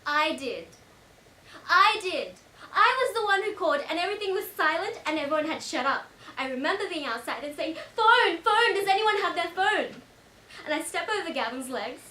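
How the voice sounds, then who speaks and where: A woman's voice: high voice, animated, fast talker, few pauses, pushed and bright. A young woman playing a shaken teenage girl in a dramatic monologue, telling her story in a colloquial, run-on way. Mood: panicked, pleading. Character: shaken teenage girl recounting a car crash. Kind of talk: dramatic stage monologue, first-person storytelling. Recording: echoey room, distant mic, faint noise.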